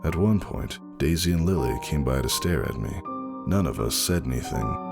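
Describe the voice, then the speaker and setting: A man narrating a dramatic first-person story in a measured, somber manner. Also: a bass voice, lively intonation, gravelly, over loud music.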